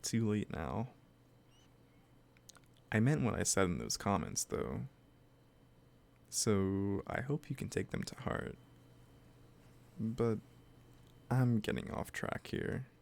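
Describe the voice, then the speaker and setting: Casting: male actor as lovesick, possessive kidnapper for roleplay audio. Gravelly low voice, gentle and coaxing. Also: expressive, full character voice. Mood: possessive, smitten. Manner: slow, hesitant, gently coaxing. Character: obsessive lovesick kidnapper, soft-spoken and possessively sweet. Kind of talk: roleplay audio drama, addressing the listener directly.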